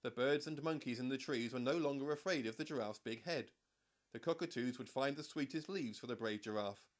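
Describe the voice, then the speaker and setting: A man reading a children's story as an audiobook narrator, in a measured, expressive storytelling manner. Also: mid-range voice.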